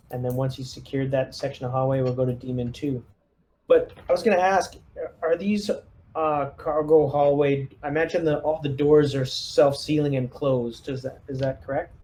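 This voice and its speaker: A man's voice: medium pitch, steady tone, normal speed, dark tone, a little hiss or hum. A man playing a tabletop roleplaying game, thinking aloud as he casually lays out squad tactics and checks in with the others. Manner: collaborative, thinks aloud, hesitant phrasing. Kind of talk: casual in-character tactical planning.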